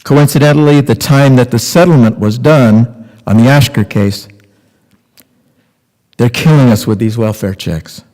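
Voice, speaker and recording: A man's voice: mid-range voice, unhurried, long pauses, dark tone. An older man in his sixties reading scripted testimony aloud from a lectern. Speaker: readers theater performer. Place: temple sanctuary at a lectern. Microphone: boom mic on a stand at lectern. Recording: echoey hall with long reverb.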